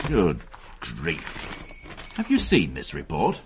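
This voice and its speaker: An irritable police detective. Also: male voice, a bass voice, huge pitch swings, slow, slight background noise, exaggerated voice. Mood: exasperated. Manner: exasperated, sharp-tongued, barking orders. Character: irritable senior police detective, middle-aged man. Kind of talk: radio drama acting.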